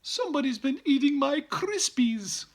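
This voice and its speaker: Man putting on a shrill, alarmed storybook mother. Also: expressive, unhurried, slight background noise, heavily acted. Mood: indignant. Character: dramatic, alarmed mother bear, shrieking falsetto. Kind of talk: storybook character voice.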